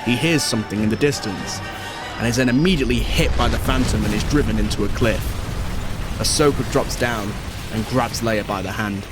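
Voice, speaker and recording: A man's voice: lowish voice, brisk. A man narrating a scripted audio drama. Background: music.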